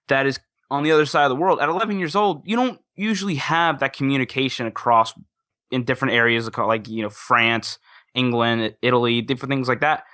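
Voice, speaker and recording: Bright-voiced young man chatting enthusiastically. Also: medium pitch, no room sound, studio quality. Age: young adult. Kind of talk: casual enthusiastic chat.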